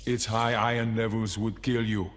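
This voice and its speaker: A menacing humanoid robot from a video game, acted by a man, with a low male voice. The delivery is flat, clipped and mechanical. It rings in a big reverberant space with loud noise around it. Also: moderate pace, natural voice. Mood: cold, flat. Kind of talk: video game character dialogue. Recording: long reverb, loud effects noise, clicks.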